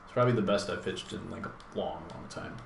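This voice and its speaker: A man with a deep voice talks over his own gameplay as a channel host, thinking aloud in a relaxed, rambling way. His voice sits low, and the room around him is echoey. Steady background noise runs under everything he says. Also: quick pace, creaky. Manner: thinks aloud, laid-back, rambling with fillers. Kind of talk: casual live gameplay commentary.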